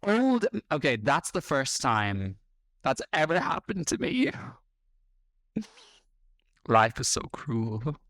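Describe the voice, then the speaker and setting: A man's voice: mid-range voice, sing-song, slow, long pauses, fairly bright tone, no room sound, studio quality. An Irish man in his thirties, a podcast host reacting to clips in a blunt, combative and sarcastic way. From Ireland. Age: 30s.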